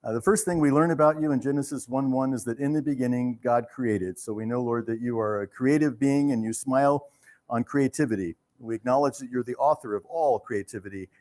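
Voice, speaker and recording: A man with a mid-pitched voice hosts a live event. He speaks in an earnest, reverent manner, as in a welcome address or a public prayer. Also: fairly quick, darkish tone. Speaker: theater host leading welcome and prayer.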